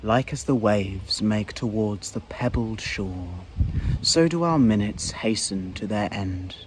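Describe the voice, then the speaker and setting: A man giving a dramatic recitation of old sonnets in a measured, theatrical way with deliberate pauses. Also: fairly low pitch, background noise. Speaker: poetry performer. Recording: music behind the voice. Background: music.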